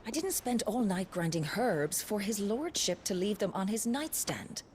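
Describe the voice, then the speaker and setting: A woman's voice: mid-range voice, lively intonation, normal speed, a little mellow, close to an everyday voice. An actress playing a stern, wry physician in a video game cutscene, dryly scolding but caring, with faint noise around her. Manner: dry, scolding.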